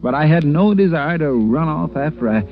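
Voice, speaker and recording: An actor playing a timid, fussy, earnest store clerk in a 1940s radio drama. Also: male voice, fairly high pitch, animated, normal speed. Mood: self-satisfied, confiding. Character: timid, prim department-store drapery clerk narrating his cruise. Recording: muffled old radio recording with music behind.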